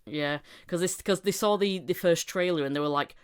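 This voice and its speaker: A woman with a lowish voice hosting a casual podcast chat, animated and quick to laugh as she talks. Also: smooth. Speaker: podcast host and interviewer.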